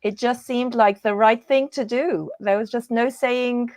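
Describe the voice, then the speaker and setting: A woman from the UK introducing herself as an interview guest, talking casually in an earnest, halting manner. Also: on the high side, fairly even intonation, a little mellow, no room sound, studio quality. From born and raised in the UK. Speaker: guest, coach.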